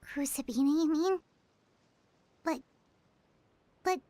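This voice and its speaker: A tiny fairy sidekick from an anime-style game. The voice is extremely high, squeaky and childlike. The manner is earnest and excitable. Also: female voice, takes it slowly, no echo. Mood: hesitant. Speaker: voice actress in a video game.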